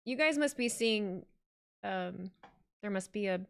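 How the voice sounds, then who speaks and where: A woman's voice: medium pitch, expressive, measured pace, vocal fry, smooth. Woman, young adult, casual streamer, joking, reads chat aloud. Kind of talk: casual watch-along chat.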